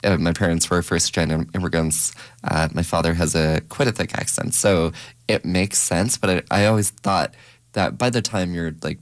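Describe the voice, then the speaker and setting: A young man co-hosting a radio show in a studio, talking in a relaxed, hesitant way with many fillers. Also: low-pitched, normal speed, no room sound. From Canada. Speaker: radio co-host. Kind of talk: casual radio chat. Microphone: studio microphone.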